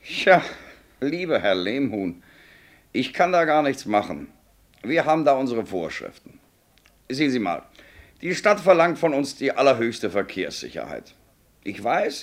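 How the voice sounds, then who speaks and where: An actor playing a regretful, rule-bound senior tram inspector in a German radio play, patronising and placating. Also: male voice, medium pitch.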